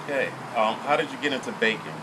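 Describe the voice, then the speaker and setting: A man hosting an informal local interview, speaking haltingly and often repeating his words. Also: mid-range voice, very noisy. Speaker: interviewer and host of a local business show.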